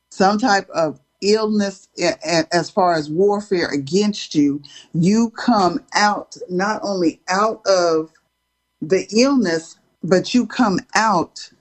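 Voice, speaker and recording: Female co-host, excited and grateful, unhurried delivery. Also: lowish voice. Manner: enthusiastic, warmly thankful. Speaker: podcast co-host. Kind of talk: casual chat with preaching tone.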